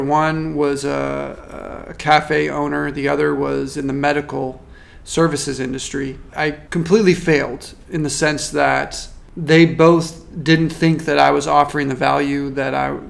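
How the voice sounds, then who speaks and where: A man working as a marketing consultant, giving a measured, thoughtful interview answer with frequent restarts. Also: on the high side, fairly even intonation, gravelly. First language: not French.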